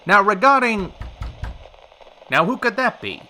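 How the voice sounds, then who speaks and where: Brash, bright-voiced vintage radio host. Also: male voice, sits high, big pitch swings, a little hiss or hum, heavily acted, low-quality recording. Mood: polished, irritated. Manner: wisecracking, theatrical, fast-talking slang. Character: brash, short-tempered 1940s radio talk show host, a man. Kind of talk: old-time radio drama acting.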